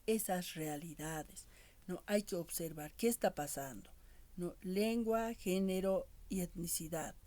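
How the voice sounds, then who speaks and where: A woman giving a class lecture in a measured way, often ending her points with tag questions to her students. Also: on the low side, dark tone.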